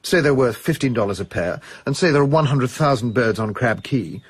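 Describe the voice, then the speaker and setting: An actor in a radio drama playing a jovial, chatty colonial official with an upper-class English accent. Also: male voice, mid-range voice, expressive, brisk, slightly rough. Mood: chatty, informative. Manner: chatty, old-school enthusiasm.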